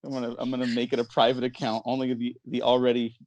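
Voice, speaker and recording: A man's voice: medium pitch, completely flat delivery, fast talker, a little mellow, dry recording, rough recording. A man hosting a podcast in casual, bantering chat, keeping things moving along. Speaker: podcast host.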